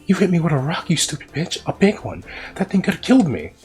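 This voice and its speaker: A man voicing a cruel boy bully who shouts and taunts. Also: deep voice, fairly even intonation, fairly quick, over music, close to an everyday voice. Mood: furious.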